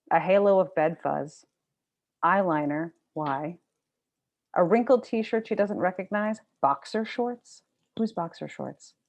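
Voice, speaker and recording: A woman with a low, creaky voice reads literary fiction. She is the author of the book, presenting her own prose at a literary event. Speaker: debut novelist reading from own book.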